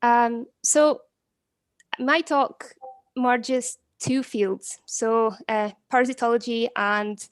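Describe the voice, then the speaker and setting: A woman's voice: on the high side, steady tone, slow, smooth, no room sound. A woman giving an informal online conference talk as guest speaker, chatty and a little hesitant in her phrasing. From Portugal. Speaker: guest speaker, postdoctoral researcher. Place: online live stream, video call.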